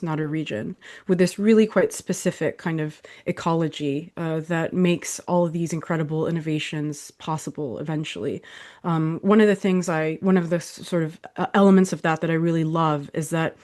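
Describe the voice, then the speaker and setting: A woman's voice: deep voice, brisk. Thoughtful historian woman from Saudi Arabia. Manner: thoughtful, warm, with soft laughs and fillers. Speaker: historian guest. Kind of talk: conversational interview answers.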